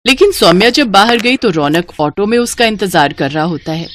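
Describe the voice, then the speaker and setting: A woman's voice: mid-range voice, expressive, normal speed, a bit bright, slight background noise. A woman reading scripted narration for a family moral story.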